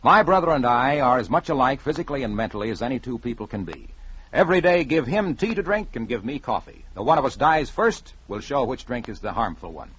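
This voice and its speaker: A man's voice: medium pitch, expressive, brisk, a bit bright, husky, dull audio. A man voicing a cunning condemned young prisoner in a radio story, formal, deferential and persuasive, on a vintage recording. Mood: calm, persuasive.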